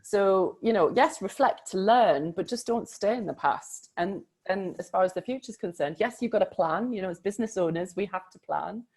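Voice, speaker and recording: Lively woman talking about mindset. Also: medium pitch, moderate pace, few pauses. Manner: animated, emphatic. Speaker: coach and co-host. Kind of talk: casual conversation.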